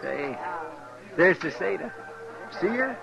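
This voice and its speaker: An actor playing a folksy, deferential deputy sidekick with a rural American drawl in a 1950s radio western. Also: male voice, high-pitched, sing-song, takes it slowly, creaky, music in the background, heavily acted. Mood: sociable. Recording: dull, noisy 1950s radio recording.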